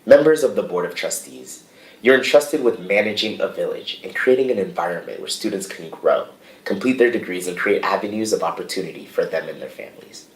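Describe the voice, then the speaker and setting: Young guy reading a formal speech to a board, real low voice, sounds far from the mic. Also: animated, brisk. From United States. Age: young adult. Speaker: student association president. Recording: distant, echoey remote audio.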